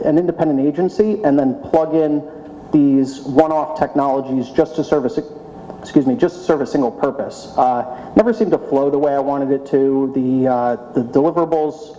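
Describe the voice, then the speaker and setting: A man with a mellow, mid-pitched voice speaks earnestly on a panel, stopping often to search for words. A long reverb from the hall trails behind him. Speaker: panelist, insurtech founder. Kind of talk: panel talk.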